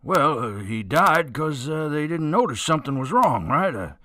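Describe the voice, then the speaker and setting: A man's voice: on the high side, sing-song, normal speed, bright, forceful tone, no echo, studio quality. Man acting a defensive, swooping cornered culprit. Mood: uneasy, evasive. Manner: hesitant, evasive, indignant when accused. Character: guilty airport mechanic, defensive killer cornered into confessing. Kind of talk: amateur radio drama acting.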